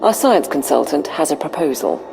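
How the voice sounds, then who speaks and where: An actress playing a brisk, deferential female military officer giving a businesslike briefing in a video game. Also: sits low, lively intonation, vocal fry, a little mellow, in a large hall, faint music behind, poor audio.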